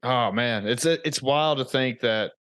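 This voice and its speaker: A man's voice: mid-range voice, measured pace, a bit bright, clean tone, dry recording, studio quality. A man from rural Virginia hosting a casual interview, talking in a rambling, informal way. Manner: rambling, informal, with many fillers. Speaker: podcast host.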